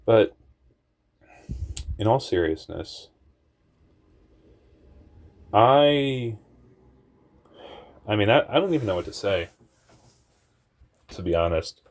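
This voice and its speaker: A young man with a very deep voice telling a personal story in a rambling, candid way, often laughing at himself and swearing. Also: lively intonation, slow, long pauses, darkish tone. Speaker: vlogger.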